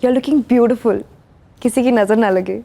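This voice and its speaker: An actress playing a caring young woman in a TV crime serial, expressive and mixing English into her talk. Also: on the high side, takes it slowly, vocal fry, slight background noise. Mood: playful, affectionate. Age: young adult. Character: caring, anxious young woman worried about her roommate.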